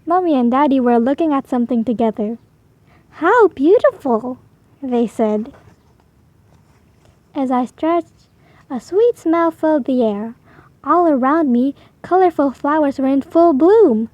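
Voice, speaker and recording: A woman with a high voice reads a children's storybook aloud. Her delivery is expressive and animated, lifting for the story's dialogue. The sound is dry and close, with a slightly dull edge. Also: measured pace, dry recording. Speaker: storybook reader.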